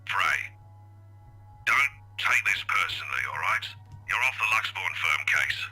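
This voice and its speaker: Deep, raspy, gruff police chief. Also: male voice, expressive, unhurried, pushed and bright, faint music behind, heavily acted, rough recording. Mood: grave. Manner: gruff, curt. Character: gruff, irritable police chief, boss on phone. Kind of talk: video game voice acting.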